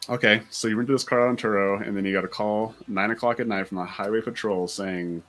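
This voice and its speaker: A man's voice: lowish voice, steady tone, brisk, a bit bright, faint music behind. A man chatting casually as a vlog host, telling a story in an informal way and repeating words as he works out his point.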